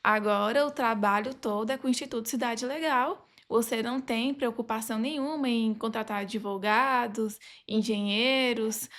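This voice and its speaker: A woman with a Brazilian accent speaking as a podcast guest, sharing experiences from her job in a conversational, anecdotal style. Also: fairly high pitch. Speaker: podcast guest, legal advisor.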